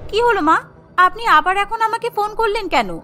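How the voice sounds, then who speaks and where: A woman's voice: very high-pitched, fast, pushed and bright, soft background music. A woman voicing an irritable, ungrateful young daughter-in-law in a cartoon story, curt and scolding. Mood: annoyed.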